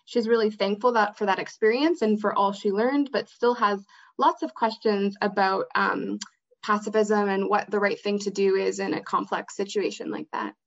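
Female interview guest, conversational, mid-pitched with noticeable vocal fry, a little dull-sounding recording. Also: fairly even intonation, moderate pace. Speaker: interview guest, theatre actor and outreach manager. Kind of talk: casual interview chat. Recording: slightly dull, lo-fi audio.

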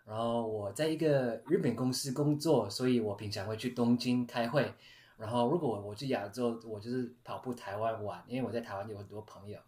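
A man in his thirties from California, talking in a relaxed, soft-spoken way in a casual group chat. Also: medium pitch, brisk. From California, United States. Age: 30s. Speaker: podcast host. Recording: roomy echo.

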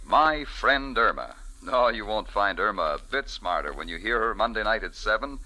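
Very bright, forceful vintage radio announcer man. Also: medium pitch, poor audio. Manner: polished, emphatic announcer delivery. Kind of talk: scripted 1940s radio announcing and promotion. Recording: vintage 1940s radio recording.

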